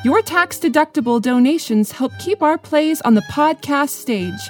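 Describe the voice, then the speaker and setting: A woman with a high voice reads a scripted podcast introduction as an announcer. Light music plays behind her.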